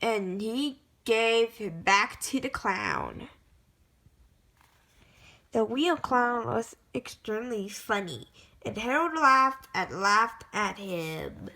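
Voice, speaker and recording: A woman reads a children's picture book aloud at an unhurried, deliberate pace. Her voice sits a little high and sounds bright and crisp, and her reading is careful and halting, with frequent restarts and self-corrections. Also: lively intonation. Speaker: storybook reader.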